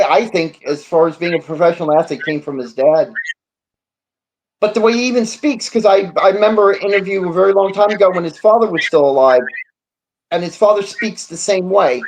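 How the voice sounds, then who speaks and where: A sports talk panelist with a mid-pitched voice chats casually and reflectively, often repeating a point for emphasis. There is faint noise on the recording. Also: expressive, fairly quick, a little mellow, low-quality recording.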